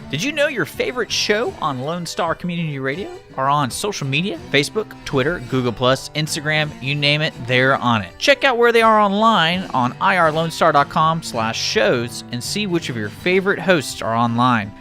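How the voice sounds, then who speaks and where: A man's voice: fairly high pitch, sing-song, moderate pace, few pauses, sharp tone. A man reading a scripted radio station promo in an upbeat, punchy announcer style. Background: guitar music.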